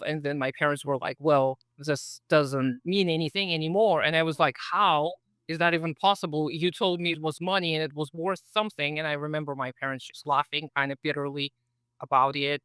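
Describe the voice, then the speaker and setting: A man, speaking from home as a podcast guest in a relaxed, reflective way, with lots of "uh" and "so". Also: fairly high pitch, smooth, no room sound. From Kazakhstan. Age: 30s. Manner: friendly, reflective storyteller with frequent "uh" and "so". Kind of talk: casual interview chat.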